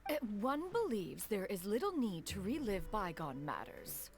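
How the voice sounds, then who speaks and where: A woman's voice: mid-range voice, wildly swooping pitch, moderate pace. A woman voicing an aloof, wise ancient crane spirit in a video game, speaking with formal, archaic phrasing. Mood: composed. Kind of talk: video game cutscene dialogue. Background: game music.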